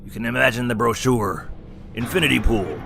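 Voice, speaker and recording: A man's voice: medium pitch, fairly quick, creaky, a bit bright, slight background noise. An actor playing a wisecracking male clown who co-hosts a scripted horror review. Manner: punny, teasing. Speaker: co-host. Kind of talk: scripted comedic review banter.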